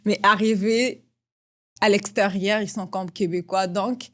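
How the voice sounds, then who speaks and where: Brief: woman host, mid-pitched voice, easygoing, asks questions with lots of "mhm". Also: unhurried. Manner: relaxed, frequent backchannel "mhm". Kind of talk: casual interview questions.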